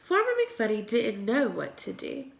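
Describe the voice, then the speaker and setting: A woman reading a children's storybook aloud in an expressive way, as a teacher does for young children. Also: fairly high pitch, unhurried, gravelly.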